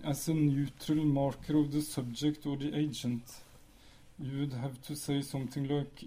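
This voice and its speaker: Man, Norwegian native, measured lecturer, mellow tone, slightly high voice. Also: low-quality recording. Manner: measured, explanatory, with pauses and fillers. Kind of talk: academic lecture.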